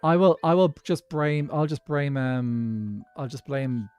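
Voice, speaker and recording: A man's voice: a little high, slow pace, darkish tone, clean tone, dry recording, soft background music. A man from Dublin with a posh Irish accent, streaming a block-building game in casual chat, thinking aloud and laughing often. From Dublin, Ireland.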